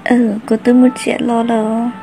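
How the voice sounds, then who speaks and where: A woman voicing a female character in an amateur animated cartoon drama. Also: on the high side, moderate pace, faint music behind, close to an everyday voice. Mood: conversational. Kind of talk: hobby animated cartoon dialogue.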